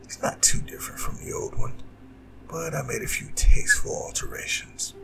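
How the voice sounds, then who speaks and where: A man playing a proud, vengeful and seductive demon king in an ASMR audio drama, speaking in a breathy, gravelly half-whisper with slow, grandiose delivery. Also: close to an everyday voice. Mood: menacing, gloating. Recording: roomy reverb, distant, music behind. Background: music.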